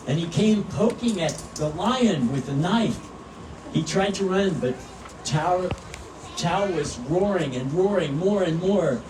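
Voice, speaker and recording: A man reading a children's story aloud at a live event in an expressive, earnest way. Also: sits high. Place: outdoor public event at animal habitat. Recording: roomy, distant mic, noisy outdoor ambience.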